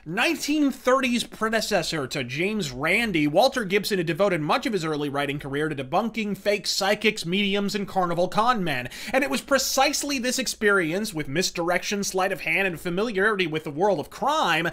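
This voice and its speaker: A man's voice: high-pitched, expressive, brisk, few pauses, fairly bright tone. A man hosting a scripted comedic commentary, delivering emphatic, profane, wordy rants as a reviewer. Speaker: host and reviewer.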